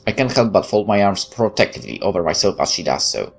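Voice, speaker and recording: A man's voice: sits low, expressive, quick pace, creaky, soft background music. A man hosting a let's play, reading a visual novel aloud and adding casual off-the-cuff commentary. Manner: off-the-cuff remarks between read passages.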